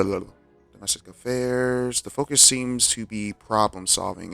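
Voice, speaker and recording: A low, gravelly male voice, dry and close on the mic. He is a laid-back game streamer, chatting casually and reading text aloud. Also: a bit bright, dry recording. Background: faint game music.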